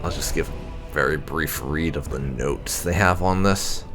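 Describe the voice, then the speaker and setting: A man narrating game lore as the host of a gaming video, with a gravelly voice. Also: a bass voice, unhurried, a bit bright, faint music behind.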